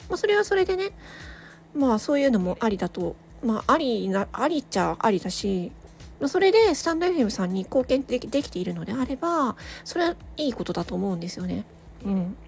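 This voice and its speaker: Woman, solo host, higher voice, swooping lively intonation, casual, reflective, hesitant. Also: quick pace, vocal fry, slight background noise. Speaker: solo audio channel host, amateur voice actor. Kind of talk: casual reflective chat to listeners.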